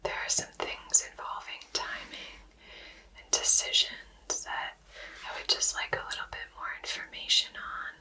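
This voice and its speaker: A woman's voice: unhurried, sharp tone, far from the mic, poor audio. A woman whispering politely and appreciatively in an ASMR conversation during a tarot reading. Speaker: host receiving a tarot reading.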